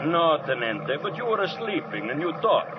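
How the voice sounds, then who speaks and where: Excitable high-pitched Italian army driver. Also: male voice, normal speed. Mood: teasing, grumbling. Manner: broken English, deferential. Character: excitable Italian army ambulance driver serving an American lieutenant. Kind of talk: 1940s radio acting. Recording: noisy, muffled vintage radio broadcast recording.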